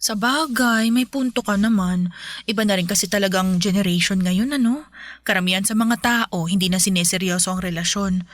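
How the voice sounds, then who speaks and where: A woman's voice: mid-range voice, normal speed, dry recording, lightly acted. A Filipino woman playing a good-natured, modest widow in a radio drama, conversational and lightly bantering.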